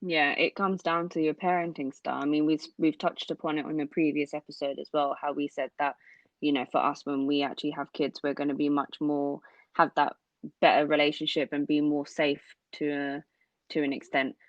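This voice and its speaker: A woman's voice: deep voice, flat delivery, normal speed, no room sound. A young woman from London co-hosting a podcast, chatting casually and agreeing often. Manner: agreeable, frequent "mm-hmm" backchannels. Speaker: podcast co-host. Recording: dull dry lo-fi sound.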